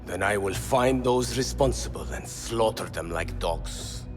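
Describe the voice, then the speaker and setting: A man's voice: lowish voice, measured pace, close to an everyday voice. Fired-up rebel leader, guy acting it, mid voice, bit of music underneath. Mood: defiant. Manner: fiery, defiant. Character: angry male rebel leader, proud. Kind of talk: video game cutscene acting.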